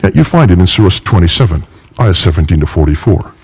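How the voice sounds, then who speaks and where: A man's voice: sits low, very animated, fast talker, darkish tone, husky. A middle-aged man giving a polemical history lecture, emphatic, with rhetorical questions and repeated phrases.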